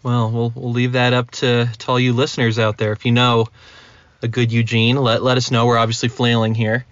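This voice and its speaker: A man hosts a podcast in a casual, conversational way. His voice sits at a middle pitch. The recording is a little dull and lo-fi. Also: little pitch movement, a little fast, clear, resonant tone. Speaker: podcast host. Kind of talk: casual chat. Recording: slightly dull, lo-fi sound.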